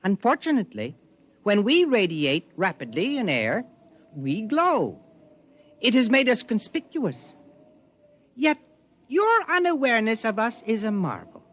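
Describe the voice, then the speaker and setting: An actor in an old-time radio drama playing a calm alien shapeshifter who speaks in formal, deliberate phrases. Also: medium pitch, exaggerated voice. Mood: grave explaining. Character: calm alien shapeshifter explaining its kind to humans.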